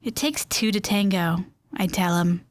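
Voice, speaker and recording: A woman's voice: medium pitch, fairly even intonation, a little slow. A woman reading first-person story narration, then chatting as the bubbly host of an in-world radio program, with rambling asides. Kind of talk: scripted audio drama narration and monologue.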